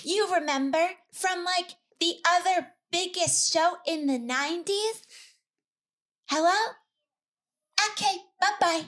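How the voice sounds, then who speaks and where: A woman doing an impression of a gushing, desperate middle-aged actress in a comedy sketch, with drawn-out theatrical phrasing. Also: very high-pitched, fairly bright tone, pitched above the performer's own voice, full character voice. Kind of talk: comedy sketch table read.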